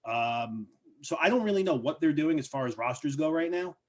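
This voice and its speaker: A man co-hosting a wrestling podcast, speaking in a measured way and hedging his points with fillers. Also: a little high, lively intonation. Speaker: podcast co-host. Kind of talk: casual wrestling chat.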